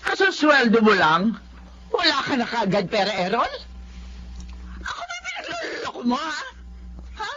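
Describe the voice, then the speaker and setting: A woman's voice: on the high side, huge pitch swings, normal speed, long pauses, lo-fi sound, over music. A woman playing an exasperated middle-aged mother who scolds and nags with a Filipino accent in a radio drama. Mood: angry. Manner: scolding, emphatic, sing-song nagging.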